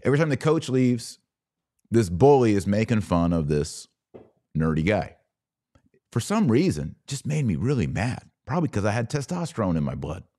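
A middle-aged man with an American accent giving an informal podcast monologue, telling personal stories emphatically with dramatic pauses on key words. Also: on the low side, big pitch swings, mellow. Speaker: podcast host.

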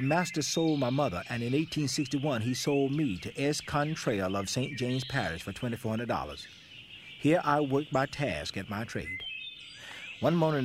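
A man performs a young man who fled slavery, giving plain, measured testimony in a historical stage drama. His medium-pitched voice sits over faint outdoor ambience with animal and bird sounds. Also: background noise, close to an everyday voice.

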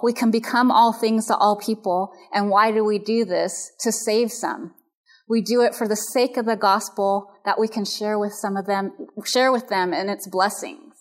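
Woman lecturing on counseling, earnest and measured, mid pitch, explains things step by step. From Japanese and American background. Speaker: biblical counseling teacher. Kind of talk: recorded lecture.

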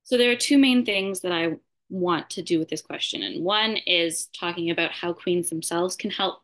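A young woman giving a candidate's answer in a debate, pausing often mid-sentence as she works out her points. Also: fairly low pitch, fairly bright tone. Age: young adult. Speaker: student election candidate. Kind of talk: debate answer, semi-prepared speaking.

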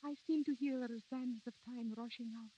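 A woman acting a high, wistful, theatrical wife. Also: steady tone, moderate pace, warm tone, lightly acted. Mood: tender, wistful. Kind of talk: 1930s radio drama acting. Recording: muffled, hissy 1930s radio recording.

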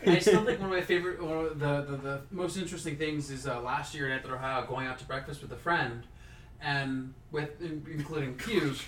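A woman's voice: sits low, steady tone, a little fast. Rambling woman in an echoey room. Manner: rambling, restarts sentences, chuckles. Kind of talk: casual chat. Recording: roomy echo, distant mic, faint hiss.

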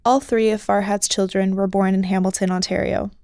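Smooth-voiced woman narrating. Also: medium pitch, level tone, a little fast, warm tone, no echo, studio quality. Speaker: podcast host and narrator. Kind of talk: scripted narration.

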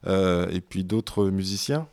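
A man hosting a radio interview, asking questions in a halting way with many repeated words. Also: low voice, darkish tone. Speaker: radio host.

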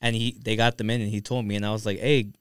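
A man's voice: on the low side, level tone, fast talker, clean tone, dry recording, clean studio sound. An American man co-hosting a podcast, talking in casual conversation. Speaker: podcast co-host.

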